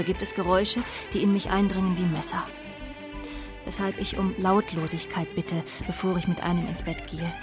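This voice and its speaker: A woman's voice: fairly low pitch, lightly acted. An actress playing a disillusioned woman of twenty-nine, narrating her life soberly in a radio drama. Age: young adult. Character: disillusioned 29-year-old daughter of a prostitute, coolly confessional.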